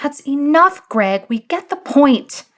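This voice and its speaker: A woman's voice: high-pitched, very animated, slow, pitched above the performer's own voice, heavily acted. A woman voicing an upset young woman who has had enough, in a storybook reading. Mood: angry.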